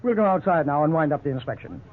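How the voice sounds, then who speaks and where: A frank, matter-of-fact male house inspector, played by an actor, deliberate and thorough in 1950s radio drama style. The voice is slightly high, on a tinny broadcast with faint noise. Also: animated, lightly acted.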